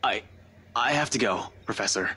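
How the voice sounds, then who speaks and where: A man's voice: fairly low pitch, moderate pace, sharp tone, slightly rough. A man playing a weary, earnest young police detective in a visual novel, speaking hesitantly and respectfully. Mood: flustered.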